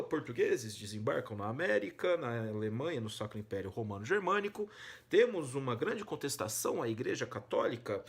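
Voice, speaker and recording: A man with a Brazilian accent giving an informal lesson to students, speaking emphatically and colloquially, with rhetorical questions. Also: on the high side. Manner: emphatic, colloquial, rhetorical questions, swinging between stressed and hushed phrases. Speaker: humanities teacher and exam-prep host. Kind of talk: informal educational monologue to students.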